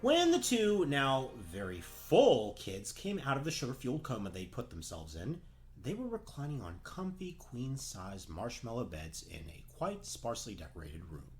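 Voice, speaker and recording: A man narrates a comic fairy tale in a theatrical, mock-dramatic manner. His mid-pitched voice swoops widely and slips into wry asides, with some music underneath. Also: huge pitch swings, normal speed.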